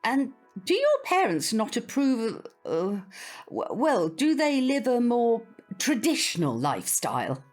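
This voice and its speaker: A woman's voice: a little high, huge pitch swings, unhurried, soft background music, full character voice. A woman playing a prim, old-fashioned older British grandmother, opinionated and wistful, in an audio drama. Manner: prim, proper, hesitant around awkward subjects.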